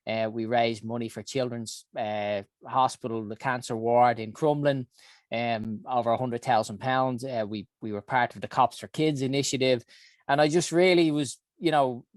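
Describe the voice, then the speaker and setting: A man's voice: mid-range voice, no room sound, clean studio sound. A man in his early forties with a Dublin Irish accent, giving an earnest personal talk about his career, with many ums and uhs. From Ireland. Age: 40s. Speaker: health summit founder.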